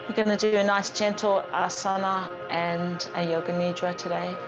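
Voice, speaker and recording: A woman leading a gentle yoga class as the teacher, guiding with a calm, soothing, gently instructing manner. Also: fairly low pitch, normal speed, muffled recording, music in the background.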